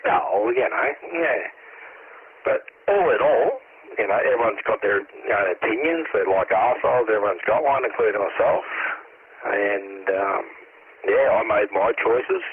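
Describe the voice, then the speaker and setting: A man's voice: on the low side, expressive, measured pace. An Australian man chatting casually over CB radio in a blunt, rambling, sweary manner. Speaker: CB radio operator. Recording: muffled, noisy CB radio transmission.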